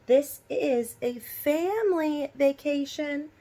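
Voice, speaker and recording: A woman's voice: high-pitched, takes it slowly, warm tone, pitched above the performer's own voice. Lady doing upbeat storybook mom, reassuring, stretches words out with emphasis.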